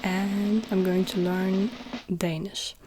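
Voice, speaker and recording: A woman's voice: medium pitch, level tone, slow, warm tone, a little hiss or hum. A young woman chatting casually to camera in a vlog, filling her talk with "like" and joking about herself. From northern Germany. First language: German. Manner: chatty, self-deprecating, many filler "like"s. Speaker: YouTuber.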